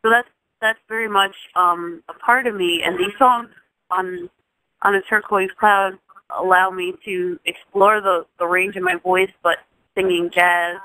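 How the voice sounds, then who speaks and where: A woman's voice: medium pitch, steady tone, a little slow, dry recording, poor audio. A woman in her thirties, a singer from Jamaica, speaking as an interview guest in a thoughtful, casual way, restarting phrases often. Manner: thoughtful, with frequent restarts and soft laughs.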